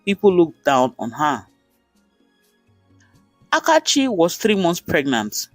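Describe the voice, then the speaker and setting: A man's voice: fairly high pitch, no echo. A man with a Nigerian accent narrating a folktale in short, dramatic sentences. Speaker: storyteller.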